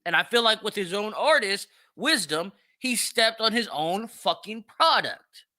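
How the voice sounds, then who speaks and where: An American man with a high, very forceful voice hosts a solo commentary show. His pitch moves around a lot as he talks. He is blunt, slangy and profane, leaning on repetition and rhetorical questions. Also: animated, a little slow. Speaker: podcast host. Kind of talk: opinionated solo commentary on celebrity news.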